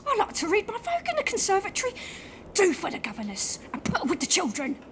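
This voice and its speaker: A woman playing a ruthless, vain, sharp-tongued schemer in an audio play. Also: very high-pitched, wildly swooping pitch, background noise, heavily acted. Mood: irritated, scheming. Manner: brisk, sharp-tongued, dismissive.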